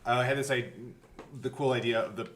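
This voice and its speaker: Mid-pitched man explaining haltingly. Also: fairly even intonation, a little hiss or hum. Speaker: game master and podcast co-host. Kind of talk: casual explanatory chat.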